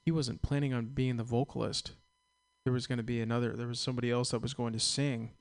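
Guy hosting a radio show, mid pitch, loose rambling chat, lots of um and like. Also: little pitch movement, fast talker, gravelly, clean tone. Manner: rambling, easygoing, many fillers. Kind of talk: casual chat. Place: radio studio.

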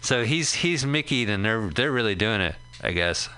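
Low-voiced man chatting casually. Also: lively intonation, pushed and bright, clean tone. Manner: rambling, halting, quick laughs. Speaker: radio co-host. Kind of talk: casual chat watching a movie.

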